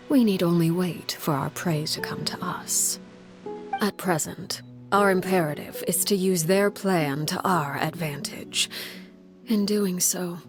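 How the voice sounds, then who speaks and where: A woman playing a stern, composed head of a secret family in a video game, speaking formally and with measured authority. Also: lowish voice, lightly acted. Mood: calm, menacing. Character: commanding, composed woman heading a secret orphan family, called Father. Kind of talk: dramatic video game voice acting. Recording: music behind the voice.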